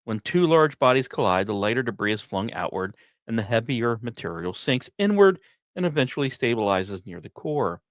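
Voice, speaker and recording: Male host, mid-range voice, measured scripted science read, explanatory delivery, very dry close recording. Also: mid-range voice, big pitch swings, dry recording. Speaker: podcast host.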